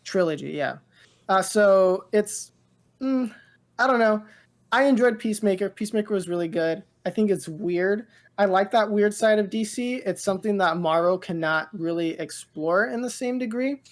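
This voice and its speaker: A woman co-hosting a casual pop culture podcast, rambling and halting as she thinks aloud. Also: mid-range voice. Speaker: podcast co-host.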